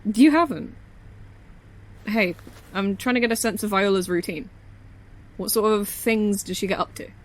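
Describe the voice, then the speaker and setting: A woman's voice: medium pitch, normal speed, pauses often, lightly acted, low-quality recording. A woman playing a confident, observant security operative in an audio drama, with a blunt, dry way of talking. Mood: businesslike. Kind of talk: naturalistic audio drama acting.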